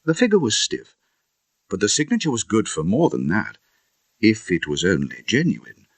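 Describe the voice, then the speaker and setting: An indignant Victorian gentleman, played by a male audiobook reader recounts a grim incident in a British accent. The voice is low and husky, swinging dramatically in pitch. It is closely recorded and dry, with emphatic conversational asides. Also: huge pitch swings, normal speed, dry recording, exaggerated voice. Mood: wry, emphatic.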